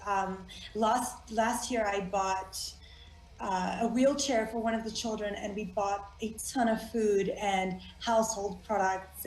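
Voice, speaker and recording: A young South African woman whose first language is Afrikaans, a guest chatting in an interview over a video call, full of fillers and quick laughs. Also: mid-range voice, darkish tone. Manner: bubbly, laughs often, many fillers. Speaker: actress guest. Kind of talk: casual interview chat. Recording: echoey room.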